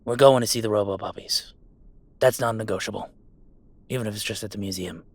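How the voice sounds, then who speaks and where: A man's voice: on the low side, brisk, no echo. Man acting a playful werewolf guy, close dry sound. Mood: defiant, resolute. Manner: playful mock-grandiose, casual banter with hesitations. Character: goofy young male werewolf streamer, playful, defiant about family. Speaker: game streamer. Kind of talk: intimate roleplay audio drama.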